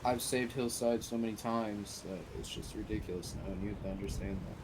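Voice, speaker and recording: A man playing a commanding leader of a survivor faction in improvised online roleplay, lecturing deliberately and swearing. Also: on the low side, fairly even intonation, natural voice. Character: stern male boss of a survivor faction, commanding. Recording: noisy amateur online game voice chat.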